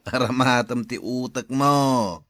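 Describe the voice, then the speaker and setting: A man's voice: medium pitch, slow, a bit bright. A man playing a grasping, calculating schemer in an audio drama, with an insistent way of talking. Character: grasping schemer after an old man's farmland. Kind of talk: Ilocano audio drama acting.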